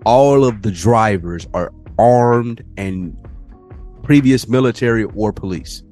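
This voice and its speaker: A man's voice: takes it slowly, soft background music. A young man co-hosting a podcast, telling stories casually and animatedly. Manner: animated storyteller, mimics people in higher voices. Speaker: podcast co-host. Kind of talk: casual chat.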